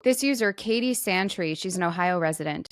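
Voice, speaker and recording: A woman's voice: fairly low pitch, lively intonation, a little fast, no room sound, clean studio sound. A woman co-hosting a casual talk show, telling stories conversationally and acting out quotes as she goes. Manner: conversational storytelling with quoted asides. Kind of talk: casual chat, retelling a viral story.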